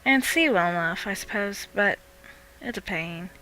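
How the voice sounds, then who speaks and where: A woman's voice: medium pitch, expressive, moderate pace, pushed and bright, rough recording. Young woman narrating horror over music. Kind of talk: dramatic first-person scripted narration.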